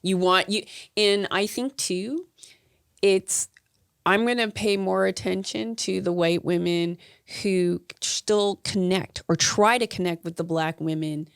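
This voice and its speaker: A woman guest on a podcast talking in a measured way, thinking aloud with fillers. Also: mid-range voice, fairly even intonation, creaky, clean tone, no echo, studio quality. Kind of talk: casual podcast chat.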